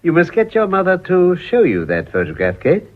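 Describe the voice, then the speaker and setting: A thin, phone-like old radio drama recording of an urbane older English Prime Minister. His pitch moves animatedly. He is genial, wry and pleased with himself, in an upper-class accent. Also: wildly swooping pitch, normal speed. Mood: urbane, jovial. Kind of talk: broadcast radio drama acting. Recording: tinny, narrow-band old recording.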